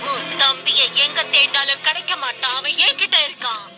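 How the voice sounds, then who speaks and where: Lady doing a high confrontational young racer girl, cartoon dub, music blaring behind. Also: fairly quick, close to an everyday voice. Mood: defiant. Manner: confrontational, urgent. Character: feisty young female dragon racer. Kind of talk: Tamil cartoon dub acting.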